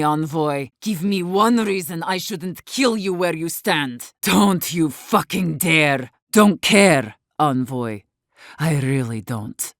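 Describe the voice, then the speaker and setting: A woman's voice: on the low side, no room sound, clean studio sound. An actor, a woman, playing a blunt, guarded and embittered leader of besieged wardens in a video game. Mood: angry, threatening. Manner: blunt, guarded, sharp-tongued, swearing. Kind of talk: video game character dialogue.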